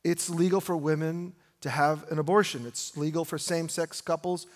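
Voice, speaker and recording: Male American pastor, higher-than-average voice, informal conversational preaching with rhetorical questions, long hall reverb. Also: steady tone, normal speed. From United States. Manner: informal, rhetorical questioning, repeats phrases for emphasis. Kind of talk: conversational sermon. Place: large reverberant room, preaching live.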